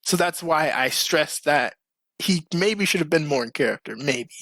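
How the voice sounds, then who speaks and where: A man's voice: fairly high pitch, lively intonation, normal speed, fairly bright tone, no echo. A man hosting a podcast in casual, opinionated panel chat, with slangy phrasing. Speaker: podcast host.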